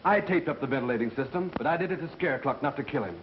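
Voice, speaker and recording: Actor as frantic fast-talking suspect, muffled. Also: male voice, mid-range voice, expressive, lightly acted. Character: frantic, guilt-ridden male suspect confessing. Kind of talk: 1930s melodrama film acting. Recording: muffled, hissy 1930s film soundtrack.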